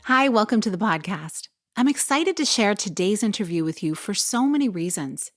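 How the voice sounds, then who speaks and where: A woman's voice: mid-range voice, sing-song, normal speed, soft background music. A woman hosting a podcast and working as a health coach, reading a polished, upbeat intro before the interview. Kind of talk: scripted intro then interview.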